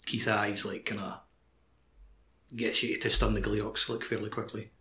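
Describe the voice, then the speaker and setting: A man co-hosting a casual gaming podcast, talking in halting bits padded with filler words. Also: fairly low pitch, level tone, background noise. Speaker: podcast co-host.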